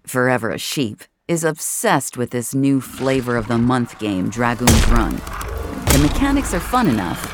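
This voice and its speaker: An introspective young VR regular tells their own story in a confiding tone. The voice sits mid-range with a noticeable creak. Music plays underneath this first-person audio drama narration. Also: mid-range voice. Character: introspective young VR social-space regular named Jamie.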